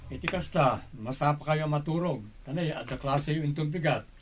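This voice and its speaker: Man playing a gentle father, faintly noisy recording. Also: fairly high pitch, normal speed, lightly acted. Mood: fatherly. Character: gentle middle-aged widowed father, village tailor. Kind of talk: Ilocano radio drama acting.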